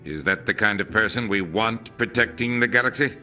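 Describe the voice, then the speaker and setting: An actor playing a skeptical official in a video game, questioning a candidate before deciding. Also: male voice, on the low side, sharp tone, faint music behind. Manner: skeptical, deliberate. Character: skeptical male official weighing a choice. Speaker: official making a decision.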